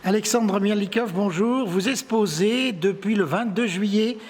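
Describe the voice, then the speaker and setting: A man's voice: sits high, measured pace. A man working as an interviewer, presenting an exhibition on site and putting questions to the artists. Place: indoors in an exhibition room at a tourist office.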